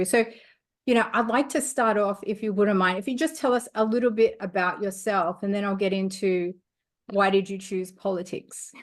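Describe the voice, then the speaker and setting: An upbeat woman hosts an interview podcast, welcoming her guest with clear enthusiasm. Her voice sits in a middle register. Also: mid-range voice. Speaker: podcast host. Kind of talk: hosting an interview.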